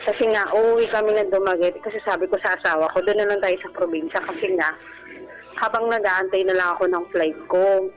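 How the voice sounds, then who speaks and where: A Filipino woman calling in to a radio advice show, telling her personal story in a halting, polite way. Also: medium pitch, rough recording. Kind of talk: personal account in conversation. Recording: radio broadcast with music underneath. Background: background music.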